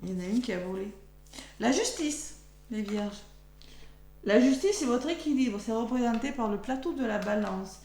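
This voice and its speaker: A French woman close to losing her voice talks gently through a weekly tarot card reading. She thinks aloud and chats as she turns each card. The room is echoey, with a faint hum in the background. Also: fairly high pitch, lively intonation, moderate pace. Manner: chatty, thinks aloud while drawing cards. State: losing her voice, talking softly.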